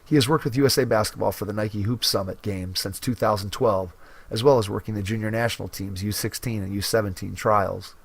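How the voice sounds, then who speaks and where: Low-ish voice guy hosting a basketball podcast, reads the intro all measured and clear, dry close mic. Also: fairly even intonation, no echo. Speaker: podcast host. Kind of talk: podcast intro and interview questions.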